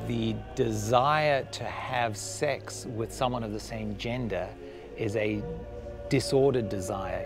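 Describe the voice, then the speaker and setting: A man giving a formal, deliberate explanation in an interview, choosing his phrases with care. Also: lowish voice, creaky. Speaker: religious interviewee.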